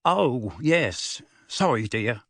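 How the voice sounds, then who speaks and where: A man voicing a gruff, irritable middle-aged uncle who grumbles scathingly, in a storybook reading. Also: mid-range voice, lively intonation, drawn-out words, fairly bright tone, no room sound, studio quality, exaggerated voice. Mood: meek. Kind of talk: audiobook character voice.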